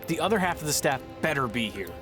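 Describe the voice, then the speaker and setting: A sardonic game player. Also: male voice, on the high side, sing-song, fast talker, faint music behind. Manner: sarcastic, grumbling. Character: sardonic man playing a doomed fighter.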